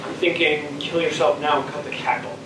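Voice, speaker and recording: A man's voice: a little high, steady tone, brisk, close to an everyday voice. A young man on a school stage playing a mean, bitter astronaut who taunts his crew, in a theatrical delivery. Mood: bitter, scornful. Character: mean, bitter, taunting middle-aged male astronaut mutineer. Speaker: student actor. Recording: echoey stage, distant mic, faint noise.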